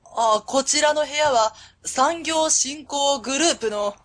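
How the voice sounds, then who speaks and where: High-voiced, warm woman company president played by an actress. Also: measured pace. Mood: polite, modest. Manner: polite, hesitant openers, fond chuckles. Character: friendly middle-aged woman company president, warm and modest. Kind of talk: Japanese web radio drama acting.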